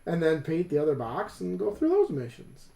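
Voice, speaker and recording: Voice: mid-range voice, wildly swooping pitch, creaky, warm tone. An American hobby host chatting casually and rambling, often talking to themselves while working at a table. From Western New York, United States. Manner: unhurried, rambling, talks to self while working. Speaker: co-host of a tabletop miniature painting channel. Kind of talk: casual rambling chat while painting miniatures. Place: indoors at a painting table. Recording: echoey room.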